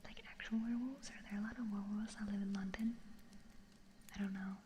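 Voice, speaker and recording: A woman hosting an ASMR livestream, whispering casually and musing to herself in a hushed, unhurried way. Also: mid-range voice, steady tone, a little hiss or hum. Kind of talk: ASMR, mostly whispered casual chat to stream viewers.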